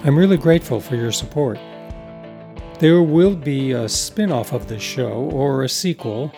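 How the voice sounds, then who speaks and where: A man's voice: mid-range voice, expressive, a little slow, mellow. A man presenting his own show, reading scripted credits and thanks to listeners as its writer and producer. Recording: plucked guitar music behind the voice.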